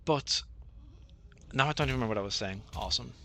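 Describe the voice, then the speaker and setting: A man giving rambling, self-deprecating commentary over his own gameplay, with many fillers and a flat, monotonous delivery. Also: medium pitch, fast, creaky, slight background noise. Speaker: gaming channel host.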